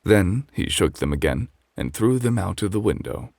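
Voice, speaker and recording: A man playing a calm, refined gentleman who reads a bedtime story as gentle, measured ASMR close to the microphone. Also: low-pitched, gravelly, no room sound, full character voice. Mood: soothing.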